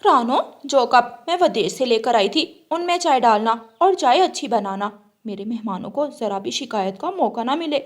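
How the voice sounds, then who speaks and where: A woman's voice: on the high side, expressive, normal speed, close to an everyday voice. Woman as a haughty, scolding rich mistress bird. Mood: bossy. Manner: scolding, bossy, contemptuous. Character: rich, haughty, cruel female bird employer.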